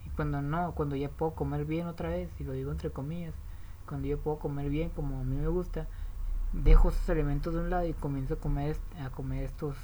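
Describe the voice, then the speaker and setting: A woman's voice: low voice, warm tone, a little hiss or hum, rough recording. A woman with a Mexican accent explaining diet habits in a casual, rambling way, often restarting and correcting herself.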